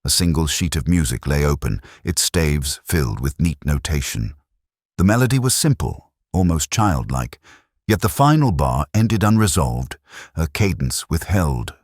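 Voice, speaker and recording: A man playing a weary, literate police inspector, narrating a detective drama in a measured, melancholy, literary way. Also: rumbling low, sing-song, darkish tone, dry recording, studio quality, full character voice. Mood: brooding, reflective. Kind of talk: scripted first-person detective narration.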